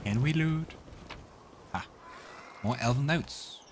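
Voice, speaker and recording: A man's voice: mid-range voice, takes it slowly, slight background noise. A man hosting a let's play, mixing casual game commentary with narration read aloud. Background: game music.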